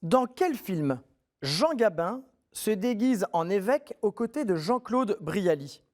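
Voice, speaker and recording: A man hosting a televised quiz show, reading questions clearly and encouraging contestants in a friendly way. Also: high voice, very animated, slow pace, a little mellow.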